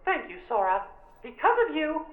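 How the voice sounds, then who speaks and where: Mickey, squeaky kindly cartoon mouse. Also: male voice, big pitch swings, normal speed, echoey room, phone-quality sound. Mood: encouraging. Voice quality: squeaky falsetto. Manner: earnest, encouraging.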